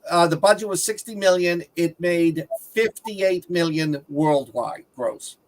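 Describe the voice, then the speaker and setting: A man's voice: sits high, unhurried. A man co-hosting a casual movie discussion, adding facts and figures in a conversational way. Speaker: movie podcast co-host.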